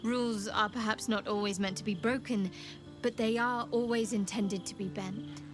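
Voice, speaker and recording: A woman playing a young cleric of a dark goddess in a video game, devout and guarded, with a sly, teasing, measured way of talking. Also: medium pitch, fairly even intonation, music in the background, close to an everyday voice. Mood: earnest, guarded. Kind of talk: video game character dialogue.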